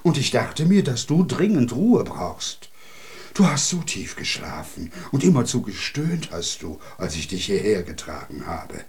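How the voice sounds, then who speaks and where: A man's voice: mid-range voice, big pitch swings, a little slow, darkish tone. An actor playing a kindly, pitying bald man in a children's radio play, soothing and caring in manner. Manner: soothing, indignant on the child's behalf.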